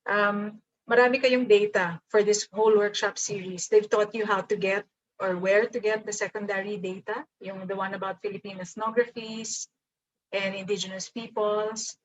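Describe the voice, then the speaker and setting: Woman, Filipino accent, lecturer, code-switching, mid pitch, dull video call sound. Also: steady tone, moderate pace. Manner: mixes English with Tagalog, thinking aloud with fillers. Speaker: workshop lecturer. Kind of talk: online seminar lecture.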